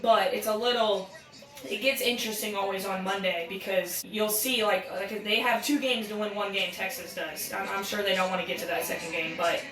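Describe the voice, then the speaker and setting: A woman in her thirties with a raspy voice, co-hosting and giving casual live sports commentary in an enthusiastic, candid way. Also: mid-range voice, steady tone, fairly quick, a bit bright, faint music behind. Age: 30s. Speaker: podcast co-host and amateur sports commentator. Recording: roomy echo, distant from mic.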